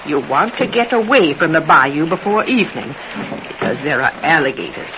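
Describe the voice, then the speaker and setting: A man's voice: a little high, sing-song, moderate pace. An actor playing an elderly retired circus performer in a radio drama, a talkative, wary old man with a folksy, wistful way of rambling into stories. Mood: firm, uneasy. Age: older. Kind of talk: classic radio drama acting. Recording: dull, noisy vintage radio recording.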